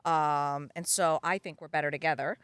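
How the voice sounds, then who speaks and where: Upbeat middle-aged woman, bright voice. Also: sits low, steady tone, normal speed, clean tone, dry recording. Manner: upbeat, enthusiastic, lots of "yeah". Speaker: podcast host. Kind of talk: podcast interview. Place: outdoors in a backyard.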